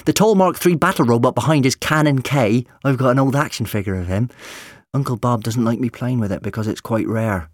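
A man's voice: medium pitch, brisk, dry recording, studio quality, close to an everyday voice. A man voicing an eager, chatty young boy who is earnest about his toys, in an audiobook story. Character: eager, bright young boy, a robot-toy enthusiast.

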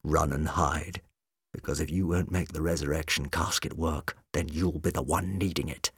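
A man voicing a menacing villain who speaks low and hushed with quiet threat. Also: normal speed, slightly rough, no room sound, clean studio sound, exaggerated voice. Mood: threatening.

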